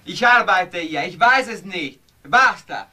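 A stubborn waiter in a radio crime drama. The voice is fairly high and very lively in pitch, with an insistent, defensive edge. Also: male voice, a little slow, exaggerated voice. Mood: stubborn, agitated. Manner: insistent, agitated.

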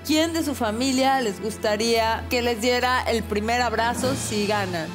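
A woman's voice: a little high, moderate pace. A middle-aged woman judging a talent show, speaking in a tender, emotional manner. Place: judges' desk in large TV studio. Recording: live studio with music and crowd.

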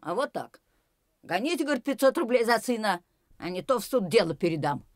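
An actress playing a talkative ice-cream seller in a radio drama. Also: female voice, mid-range voice, sing-song, a little fast, no room sound, studio quality, natural voice. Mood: chatty.

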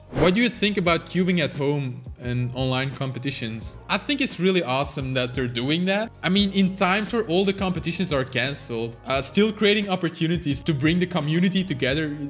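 A young man talks quickly and casually in English, answering viewer questions from his desk. His voice sits a little high for a man. Soft music plays faintly behind him in a small room. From Belgium. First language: Flemish. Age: young adult. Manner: chatty, animated, quick. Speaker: channel host. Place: small home room, indoors. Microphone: fixed camera, seated at desk.

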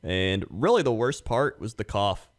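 Relaxed low-voiced podcast co-host. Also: male voice, unhurried. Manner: laid-back, easygoing, amused. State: getting over a cough. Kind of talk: casual podcast chat.

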